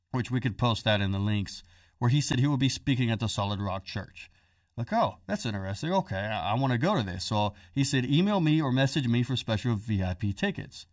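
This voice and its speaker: A man's voice: medium pitch, no room sound, studio quality, distant mic. A man hosting a podcast in casual chat, joking and telling a story in a chatty way. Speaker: podcast host.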